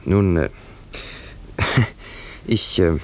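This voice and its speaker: A young male secretary with a deep voice. He is embarrassed and hesitant, stumbling over his words nervously. It is acted in the manner of 1950s German radio drama. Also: lively intonation, extremely slow, a little hiss or hum, full character voice. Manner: hesitant, stammering, nervous little laughs.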